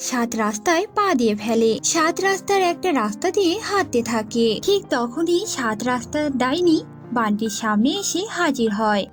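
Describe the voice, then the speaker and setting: A woman's voice: on the high side, lively intonation, normal speed, soft background music. A woman narrating a scripted cartoon folk tale as storyteller.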